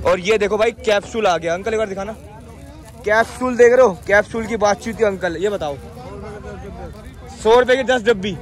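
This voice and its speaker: A young man filming a casual vlog on his phone as he walks a busy outdoor street market, chatting with sellers and repeating each price to the camera. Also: high voice. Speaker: vlogger. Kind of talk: handheld market walkthrough vlog. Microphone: phone held at arm's length.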